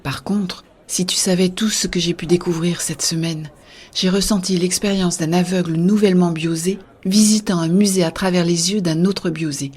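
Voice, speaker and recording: A woman playing an earnest, idealistic young-hearted believer in a scripted science-fiction audio drama, with a husky, soft delivery. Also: fairly low pitch, fairly even intonation, normal speed. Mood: hushed, pensive. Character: Béa, earnest idealistic woman defending a shared-consciousness network. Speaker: voice actor narrating in first person.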